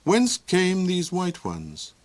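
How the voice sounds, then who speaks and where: A man's voice: high-pitched, huge pitch swings, slow, pitched above the performer's own voice, heavily acted. A man doing a lofty, earnest young prince.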